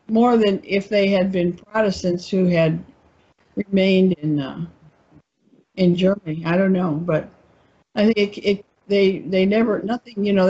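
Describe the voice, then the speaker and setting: A woman's voice: mid-range voice, darkish tone, slightly rough, highs cut off. A woman talking in a casual discussion, meandering and searching for her words.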